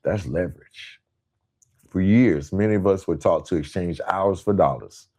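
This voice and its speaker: A man's voice: deep voice, moderate pace, mellow, breathy edge. A man hosting a podcast, speaking straight to listeners in an emphatic motivational monologue built on rhetorical questions and repeated phrases. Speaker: podcast host.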